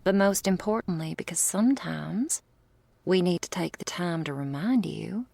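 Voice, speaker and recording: A woman's voice: lowish voice, animated, moderate pace, a little mellow, dry recording. A woman playing a teasing, doting female vampire lover in an intimate ASMR roleplay, with whispery asides and quaint, affectionate wording. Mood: tender, protective. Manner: breathy asides, pet names, quaint turns of phrase.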